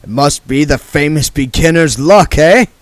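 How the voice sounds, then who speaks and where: A man voicing a falsely friendly male card cheat with a flattering manner. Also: on the high side, normal speed, dry recording, pitched above the performer's own voice, close to an everyday voice. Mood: oily. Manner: oily, flattering.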